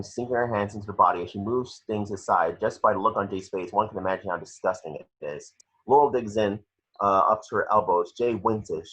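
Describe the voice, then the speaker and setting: A man's voice: lowish voice, pushed and bright, slightly rough. A man reading scripted action descriptions as narrator for a table read, on a muffled home recording.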